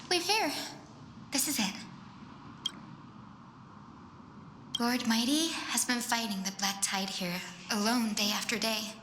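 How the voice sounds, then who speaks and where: A woman voicing a gentle, caring young fantasy healer in a story video game, speaking softly and reassuringly. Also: on the high side, a little slow. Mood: earnest, concerned. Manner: soft, tender, reassuring. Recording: slightly roomy, music underneath.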